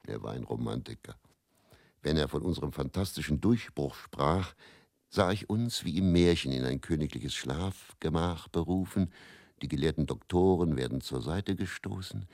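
An actor with pronunciation playing a weary, self-doubting male faith healer who confesses deliberately and broodingly in a radio play monologue. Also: rumbling low, vocal fry, no room sound, clean studio sound, exaggerated voice. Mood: ironic, wistful.

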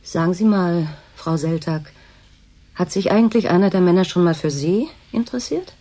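An actress playing a blunt, disillusioned worker at a remote station in a German radio drama, curt and sardonic. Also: female voice, lowish voice, lively intonation, normal speed, warm tone. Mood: bitter, resigned.

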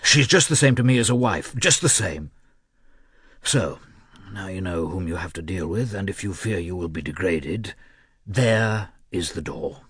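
A man reading aloud and voicing a wild, gaunt, irritable man who speaks in sharp, defiant outbursts. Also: deep voice, lively intonation, a little slow, slightly rough, no room sound, studio quality, exaggerated voice.

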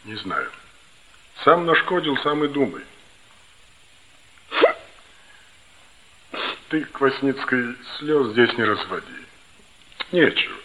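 Stern male teacher: deliberate and animated, blunt, admonishing, vintage radio drama with faint noise. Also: medium pitch. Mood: stern, reproachful. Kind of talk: 1960s Soviet radio play acting. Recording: dull, slightly noisy old radio recording.

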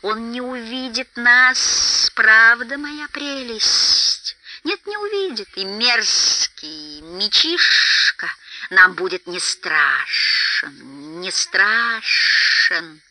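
A woman acting wretched storybook creature, male, hissing whisper to shrill shriek, spiteful, greedy, drawn-out muttering, wildly swooping pitch. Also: expressive, pushed and bright, full character voice, low-quality recording. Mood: gloating. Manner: drawn-out, muttering to himself, repeating words.

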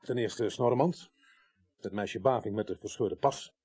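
A man's voice: lowish voice, normal speed, darkish tone, husky. A man playing a businesslike lead investigator in a radio play, speaking in an organising, slightly hesitant manner. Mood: serious, methodical. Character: businesslike male lead investigator, in command, briefing his team. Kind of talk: 1980s Dutch radio play acting.

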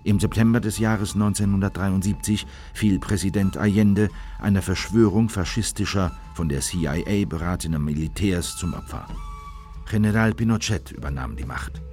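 An actor playing a sombre conspirator recalling events as a deliberate narrator in a radio documentary drama. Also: male voice, low-pitched, darkish tone, soft background music, close to an everyday voice. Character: male memoir narrator, sombre conspirator recalling a failed plan.

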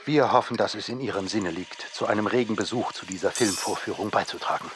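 An actor playing a formal official in a radio play, reading out letters in an officious, bureaucratic manner. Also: male voice, fairly low pitch, fast talker, background noise, natural voice. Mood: stiff, formal.

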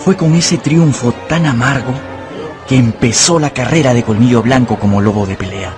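A man's voice: mid-range voice, normal speed, over music, close to an everyday voice. A man narrating a grim adventure story as a dramatic, scripted radio play. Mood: grave.

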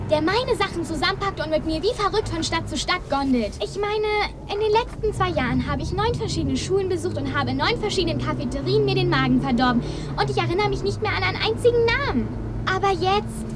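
An actress playing a sarcastic, weary teenage daughter in a German audio drama. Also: female voice, very high-pitched, lively intonation, a little fast, background noise. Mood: exasperated, sarcastic.

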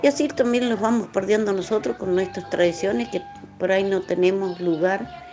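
A woman's voice: medium pitch, moderate pace, warm tone, faint music behind. A woman talking informally and reflectively, in an earnest, rambling way. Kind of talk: informal reflective interview talk.

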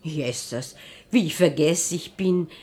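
An actress playing a kind, simple old washroom attendant, speaking in a breathy Viennese dialect in a radio play. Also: female voice, sits low, slow pace, a little mellow, heavily acted. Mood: flustered. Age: older.

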